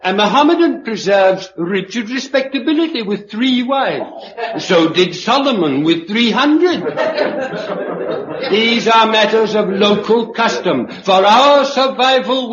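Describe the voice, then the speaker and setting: An actor playing an elderly, authoritative sociology professor who lectures in a measured, pedantic way in a radio drama. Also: male voice, high voice, lively intonation, fairly bright tone. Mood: unyielding. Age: older. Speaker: sociology professor addressing a meeting. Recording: dull, echoey radio recording, faint noise.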